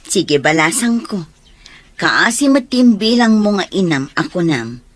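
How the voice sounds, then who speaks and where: A kindly older neighbour in an Ilocano radio drama. Her mid-pitched voice is sympathetic and indulgent. The recording is dry and close. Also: very animated, a little slow, dry recording.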